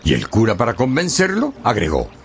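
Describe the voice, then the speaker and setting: A man narrating a scripted radio drama as a storyteller, in a dramatic and expressive way. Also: on the low side, a little fast, soft background music.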